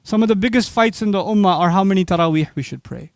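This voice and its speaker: A man from Pakistan giving an animated religious lecture, asking the audience rhetorical questions. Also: sits high, quick pace, no echo, clean studio sound. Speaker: Islamic speaker.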